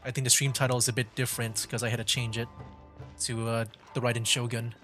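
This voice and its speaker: A male streamer chats casually through a game in a medium-pitched voice. His commentary is informal and meandering, with faint music underneath. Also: level tone, fast, bright, forceful tone. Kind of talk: casual gaming livestream commentary.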